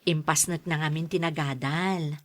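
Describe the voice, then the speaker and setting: A woman's voice: low voice, steady tone, moderate pace, close to an everyday voice. A woman playing a caring, protective middle-aged mother and wife in an audio drama. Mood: friendly.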